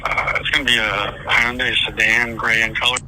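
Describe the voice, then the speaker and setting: A man's voice: mid-range voice, level tone, normal speed. A male police officer giving a traffic report over a police scanner radio. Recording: scanner radio heard in noisy car. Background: loud vehicle noise.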